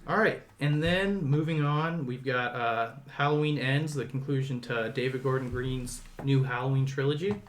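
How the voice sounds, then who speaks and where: Conversational male podcast host, mid-pitched voice. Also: normal speed, hardly any pauses, a little hiss or hum. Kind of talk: casual film discussion.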